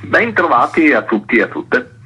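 A man being interviewed on radio as an author, explaining in a deliberate way, searching for words. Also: fairly high pitch, sing-song, pushed and bright, slightly rough, low-quality recording.

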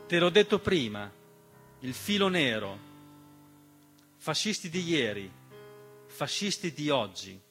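A man performing a dramatic political theatre monologue in an emphatic, rhetorical manner with deliberate repetition. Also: fairly high pitch, very animated, a bit bright. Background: piano accompaniment.

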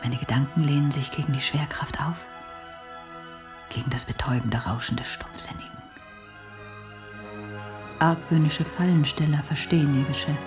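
Trance-like visionary reciting, whispery and solemn, really slow, music behind him. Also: male voice, fairly high pitch. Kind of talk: poetic radio play monologue.

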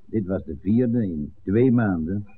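Low-voiced blunt village man, played by a man. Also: unhurried, narrow, tinny audio, natural voice. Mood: matter-of-fact. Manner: blunt, matter-of-fact. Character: matter-of-fact male villager at the pub. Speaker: radio play actor. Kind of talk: 1960s radio drama acting. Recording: thin, noisy old radio recording.

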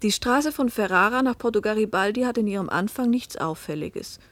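An actress playing a pensive middle-aged wife and mother, recounting a fateful journey in measured literary narration that sometimes sinks toward a whisper. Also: mid-range voice, animated, a bit bright, dry recording, close to an everyday voice. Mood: somber, descriptive. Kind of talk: literary first-person narration in a German radio play.

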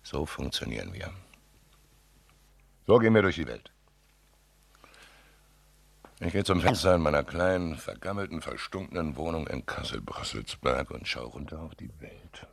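A man's voice: rumbling low, very animated, normal speed, vocal fry, husky, no echo, exaggerated voice. A man playing a bitter, disgusted reclusive tape artist in an experimental radio drama monologue, speaking in staccato, intense bursts. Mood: cold, intense.

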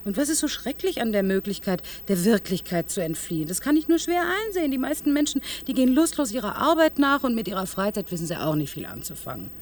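A woman's voice: mid-range voice, big pitch swings, fast talker, few pauses, slight background noise, natural voice. An actress playing a proud, impatient female scientist in a radio drama, with a brusque, emphatic delivery. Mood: defensive, impatient. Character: proud, impatient female scientist defending her visionary technology.

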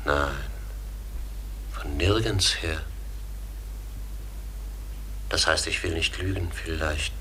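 A human-sized raven in a radio drama, with a deep, forceful, piercing voice. He is weary and puzzled, answering frankly in plain, grave, formal speech. Faint ambient noise sits behind him. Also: takes it slowly, long pauses, full character voice. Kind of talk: radio play acting.

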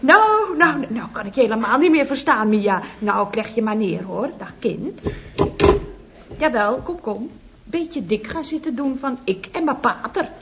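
A woman's voice: fairly high pitch, lively intonation, measured pace, noisy background. A woman playing a chatty, cheerful office secretary in a radio comedy, talking on the phone and with her boss. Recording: lo-fi old radio recording.

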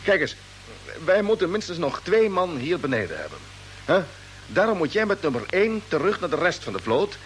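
A man's voice: high voice, sing-song, fairly quick. A man acting a decisive, fatherly space expedition captain in mid-century radio drama, authoritative and reassuring. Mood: commanding. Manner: authoritative, reassuring, ends phrases with questioning tags. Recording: noisy old radio drama recording.

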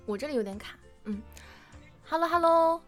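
Higher-voiced woman hosting a livestream. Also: lively intonation, moderate pace. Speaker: livestream host interviewing voice actors. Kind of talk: informal live interview hosting. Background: music.

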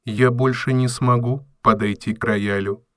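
An actor voicing a polite robot servant in an audio drama, formal, deliberate and emotionless. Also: male voice, medium pitch, fairly even intonation, fairly bright tone, clean tone, natural voice. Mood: grave, polite. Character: polite, measured robot servant with unshakable calm logic.

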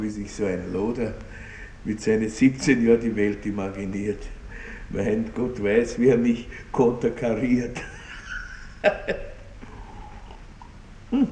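Aging country baron, pompous, lecherous, slow theatrical delivery, roomy vintage radio drama sound. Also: male voice, on the low side, dark tone. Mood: smug, amused. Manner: blustering, self-pitying, chuckling, drawn-out words. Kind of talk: theatrical radio play acting. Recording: roomy 1960s radio drama, faint noise.

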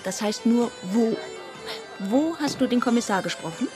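An actress playing a tender, wistful deaconess who is an inspector's partner, in a radio drama. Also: female voice, a little high, expressive, normal speed, music in the background, natural voice. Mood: teasing. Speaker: actress, also narrating the story. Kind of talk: German radio drama dialogue and narration.

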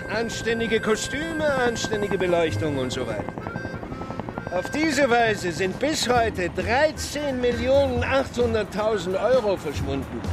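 A talkative male whistleblower in a radio drama, with a high-set voice. He is insistent and sardonic in an everyday, colloquial way, like someone obsessed with his findings. Music and vehicle sounds run behind him. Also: poor audio. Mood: probing.

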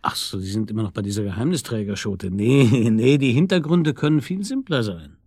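An actor playing a shrewd police chief in a radio crime drama, dryly thinking aloud in colloquial speech. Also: male voice, mid-range voice, lively intonation, brisk, darkish tone. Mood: musing, amused. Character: shrewd, musing male police detective chief. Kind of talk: naturalistic German radio crime drama acting.

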